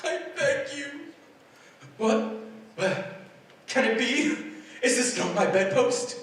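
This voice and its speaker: A man acting out a remorseful old miser in a radio play. His high voice echoes in a big hall, heard from a distance. He pleads and exclaims with stagey force. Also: lively intonation, slow pace, a bit bright, faint music behind, full character voice. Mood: astonished. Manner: pleading and theatrical. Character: old male miser, remorseful then overjoyed. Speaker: Scrooge. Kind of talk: 1940s style radio drama acting. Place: theater stage.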